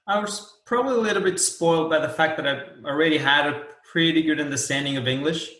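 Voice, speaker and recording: A man's voice: fairly high pitch, fast talker, sharp tone. A man in his thirties from Portugal, a native Portuguese speaker, chatting easily as an interview guest. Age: 30s. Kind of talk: casual interview chat. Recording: roomy echo.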